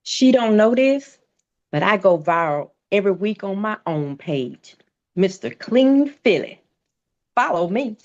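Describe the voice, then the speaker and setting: A woman's voice: mid-range voice, lively intonation, a little slow, darkish tone. A woman playing a bragging male school janitor at a sitcom table read, recorded dull and distant. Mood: boastful. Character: boastful male school janitor, proud viral video star.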